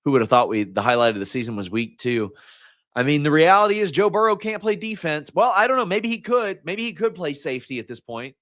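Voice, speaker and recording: A man hosting a solo sports talk stream, hoarse and frustrated, venting like a fan and often restarting his sentences. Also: fairly high pitch, brisk, a bit bright. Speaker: host.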